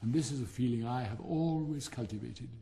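A man's voice: mid-range voice, brisk, gravelly, dark tone, lightly acted. Man playing a haughty, melodramatic English rocket. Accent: English Received Pronunciation. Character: pompous, self-pitying, supercilious male firework rocket. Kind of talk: theatrical storybook character reading.

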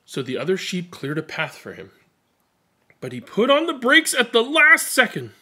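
A man in his thirties doing an expressive picture-book read-aloud with casual commentary. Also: high voice, normal speed. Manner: expressive, animated storytelling. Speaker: read-aloud storyteller and host. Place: small indoor room at home.

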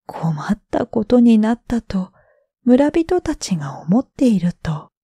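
A woman narrating bedtime stories for sleep in a gentle, drawn-out way with breathy phrase endings. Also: on the high side, sing-song, dry recording, clean studio sound. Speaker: storyteller, freelance announcer.

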